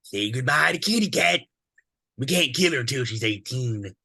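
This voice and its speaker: Man playing smug creaky-voiced commanding villain. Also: mid-range voice, animated, normal speed, pushed and bright, no room sound, clean studio sound, full character voice. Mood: gloating. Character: smug, commanding male villain goading others to kill. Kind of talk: dramatic screenplay table read.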